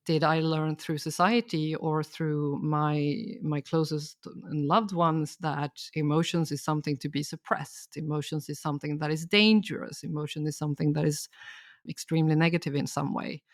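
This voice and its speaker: Woman with a gravelly voice. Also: deep voice, normal speed, dry recording, studio quality. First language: Swedish. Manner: thoughtful, explanatory, steady. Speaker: guest expert. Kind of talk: reflective podcast conversation.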